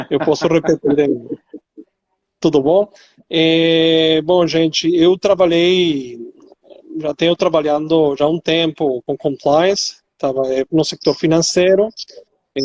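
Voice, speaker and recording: A man's voice: on the high side, a little mellow, no echo, highs cut off. A middle-aged man with a Venezuelan accent, Spanish his first language, explaining as a guest expert in an online chat with many fillers and restarts. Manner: hesitant, many fillers and restarts, slow in places.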